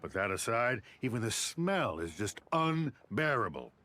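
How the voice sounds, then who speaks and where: An actor playing a nervous, eager-to-please warehouse clerk in a video game, with a flustered, stammering manner. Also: male voice, mid-range voice, big pitch swings, measured pace, sharp tone, a little hiss or hum. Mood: earnest, dutiful. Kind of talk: video game character acting.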